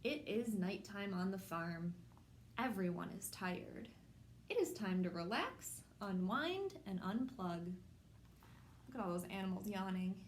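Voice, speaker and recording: A woman hosting a children's storytime, reading a picture book aloud in simple, measured phrases addressed to young children. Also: mid-range voice, expressive, slight background noise. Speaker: children's storytime host at a public library.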